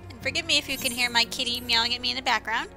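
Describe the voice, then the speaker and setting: A woman's voice: on the high side, racing, sharp tone, faint music behind. A woman giving casual, sarcastic and exclamatory commentary over a video game, speaking informally with some swearing. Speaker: gameplay commentator.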